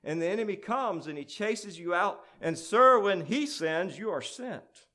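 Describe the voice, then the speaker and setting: A man's voice: sits high, animated, a little slow, pushed and bright, voice pushed up, full character voice. A man voicing a small imp underling with a piping, quivering manner in a dramatic verse recitation. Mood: quivering, fearful.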